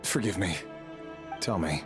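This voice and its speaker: An actor playing a deferential, probing court subordinate in a video game, hesitant and polite. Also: male voice, low voice, unhurried, music in the background. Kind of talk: video game character acting.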